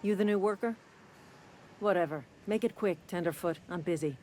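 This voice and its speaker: A woman playing a gruff, jaded company-town worker, curt and deadpan, in a video game. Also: fairly low pitch, moderate pace, a little hiss or hum, natural voice. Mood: curt, irritated. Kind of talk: video game dialogue acting.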